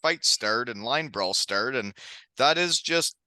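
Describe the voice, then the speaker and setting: A man's voice: medium pitch, animated, slow pace, pushed and bright, dry recording. A middle-aged man hosting a podcast as a former hockey player, talking casually, candidly and in a rambling way. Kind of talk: casual conversational podcast chat.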